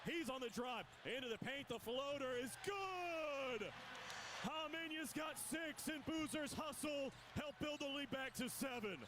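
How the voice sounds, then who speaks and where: A man doing radio play-by-play of a basketball game, rapid and rising sharply on big plays. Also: very high voice, noisy background. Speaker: play-by-play announcer.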